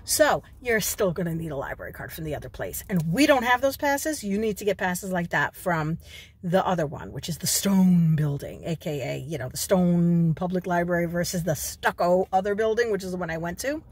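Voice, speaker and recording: A woman's voice: fairly low pitch, lively intonation, normal speed, slightly rough. A woman in her forties from New York, vlogging casually from a parked car into a phone held at arm's length, chatty and given to digressions.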